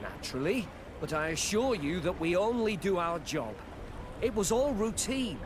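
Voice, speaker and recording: A man's voice: high-pitched, sing-song, moderate pace. A man playing an evasive, beleaguered customs officer in a video game, hesitant, stammering and deferential. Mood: guarded, nervous. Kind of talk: video game cutscene acting. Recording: noisy outdoor ambience behind the voice.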